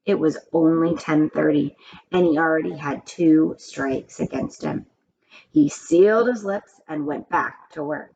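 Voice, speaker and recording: A woman reading a children's story aloud in an expressive storytelling style. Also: sits low, a little slow, creaky. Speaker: story reader. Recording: dull, muffled, background noise.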